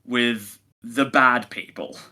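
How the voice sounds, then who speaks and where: A man's voice: mid-range voice, slow pace, sharp tone. A man co-hosting a review podcast, chatting casually and giving his opinions with emphatic phrasing. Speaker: podcast co-host reviewing audio dramas.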